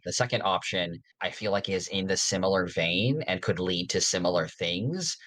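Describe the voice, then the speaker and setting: A man's voice: sits low, a bit bright. A man co-hosting a podcast in casual discussion, thoughtfully explaining his reasoning. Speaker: podcast co-host.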